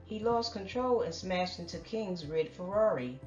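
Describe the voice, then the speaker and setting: A woman reporting celebrity news in a steady, read-out narration. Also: fairly low pitch, moderate pace, far from the mic. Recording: roomy, dull sound with faint music.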